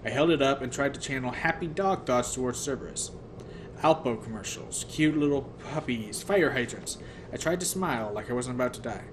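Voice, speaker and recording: A man's voice: medium pitch, animated, fairly quick. A man reading a first-person novel aloud as narrator, sometimes stumbling and rereading phrases. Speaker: audiobook reader. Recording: noticeable background noise.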